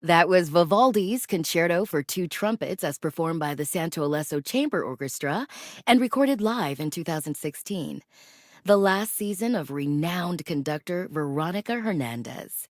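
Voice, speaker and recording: An actress playing a cultured, gracious public radio host in a video game, presenting classical music in a measured, articulate and formal way. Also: female voice, on the low side, expressive, no room sound, clean studio sound, natural voice. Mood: poised, informative.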